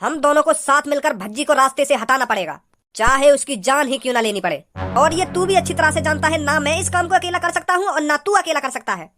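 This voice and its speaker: A performer voicing a menacing, scheming male cartoon gangster, speaking in deliberate, boastful threats. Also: high-pitched, expressive, fairly bright tone. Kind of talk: cartoon villain dialogue. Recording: music behind the dialogue.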